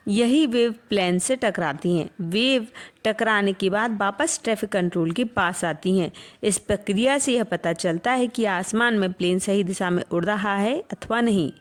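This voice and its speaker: A woman with an Indian accent presenting a scripted educational explainer in a clear, explanatory manner. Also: mid-range voice.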